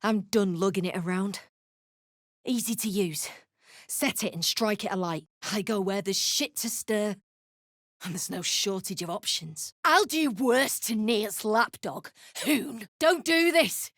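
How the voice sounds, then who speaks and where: A woman voicing an embittered, foul-mouthed deep gnome rebel in a video game, blunt and snarling. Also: animated, unhurried, a bit bright, no echo, studio quality. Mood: grim, curt. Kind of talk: video game character acting.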